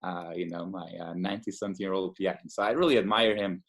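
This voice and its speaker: A man's voice: low-pitched, fairly even intonation, fast talker, fairly bright tone. A man from Portugal, an immunologist in his thirties, talking enthusiastically in a casual interview with many fillers and restarts. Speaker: immunologist guest.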